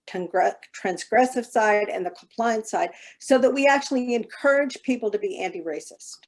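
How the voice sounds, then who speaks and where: A woman's voice: a little high, darkish tone. A woman speaking as a panelist in an online discussion, impassioned, stumbling and correcting herself. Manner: impassioned, stumbling over words with self-corrections.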